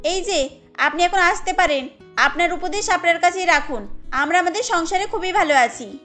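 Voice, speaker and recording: A woman's voice: extremely high pitch, expressive, moderate pace, bright, forceful tone, soft background music, exaggerated voice. A woman voicing a modern, outspoken young daughter-in-law in a family cartoon, politely standing her ground. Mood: protesting. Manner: explaining, protesting politely. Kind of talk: cartoon voice acting.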